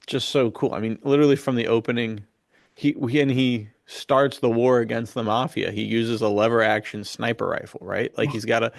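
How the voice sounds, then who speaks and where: A man's voice: on the low side, vocal fry, no echo, clean studio sound. A man chatting casually on a podcast about books, enthusiastic and slangy, peppering his talk with "dude" and "like". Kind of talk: casual podcast book chat.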